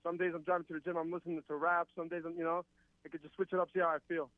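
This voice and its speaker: A man's voice: on the high side, little pitch movement, very fast, no room sound. A man in his twenties, originally from Palestine, talking casually as a guest fighter with lots of fillers and restarts. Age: 20s. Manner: rambling, filler-heavy, upbeat. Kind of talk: casual interview over a remote call. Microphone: remote call. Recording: tinny phone-like line.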